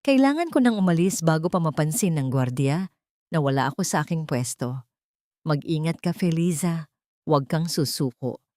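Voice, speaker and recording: A woman's voice: low-pitched, animated, normal speed, warm tone, dry recording, clean studio sound. Urgent, loyal old woman voiced by a female narrator. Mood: urgent farewell. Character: loyal, determined elderly woman friend in secret warning.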